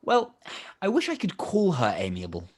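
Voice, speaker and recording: A man playing an ingratiating young army officer in a period radio play, polite, measured and confiding. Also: a little high, wildly swooping pitch.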